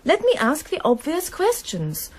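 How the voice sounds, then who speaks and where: A woman's voice: sits high, huge pitch swings, a little slow, exaggerated voice. An actress playing a fierce, outspoken young woman who questions directly and persistently in a radio drama. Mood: insistent, challenging. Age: young adult. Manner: probing, direct, insistent.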